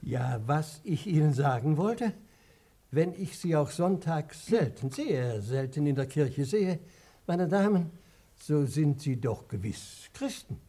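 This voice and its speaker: Earnest moralizing pastor for radio drama: unhurried, lively rise and fall, self-justifying. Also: male voice, fairly high pitch, exaggerated voice. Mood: preachy. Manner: sermonizing, deliberate. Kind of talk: German radio play acting.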